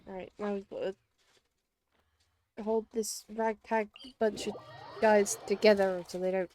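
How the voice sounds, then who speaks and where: A man's voice: sits high, little pitch movement, a little slow, darkish tone, slight background noise. A man reacting casually to a video game as a live streamer.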